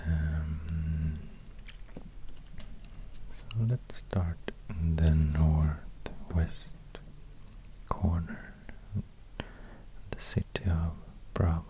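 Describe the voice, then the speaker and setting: Man, Swedish accent, breathy ASMR whisper, flat intonation, hushed, long pauses, close binaural mic, clear background noise. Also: a bass voice. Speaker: ASMR video creator. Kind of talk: soft-spoken ASMR, whispered narration while drawing. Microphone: close binaural microphone.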